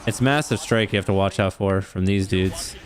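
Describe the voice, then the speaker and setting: A man's voice: deep voice, moderate pace. A man in his thirties chatting casually while playing an online game, swearing and joking about himself. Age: 30s. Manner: casual, swearing, self-mocking. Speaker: streamer. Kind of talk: casual gaming chat.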